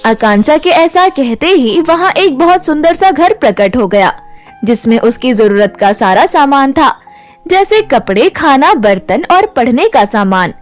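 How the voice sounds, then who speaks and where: High-pitched woman storyteller. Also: big pitch swings, unhurried, faint music behind. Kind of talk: scripted children's story narration. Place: studio voice-over.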